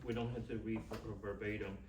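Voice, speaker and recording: A middle-aged man with a Taiwanese accent and Chinese as his first language, giving an informal, halting talk as a class instructor. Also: lowish voice, level tone, darkish tone. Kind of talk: informal teaching and personal introduction. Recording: echoey room, distant mic, faint noise.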